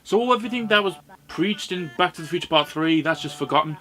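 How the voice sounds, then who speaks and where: A man's voice: fairly high pitch, expressive, fast talker, pushed and bright. A British man giving an informal, opinionated video review with sardonic, sweary commentary. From Britain. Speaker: host and reviewer.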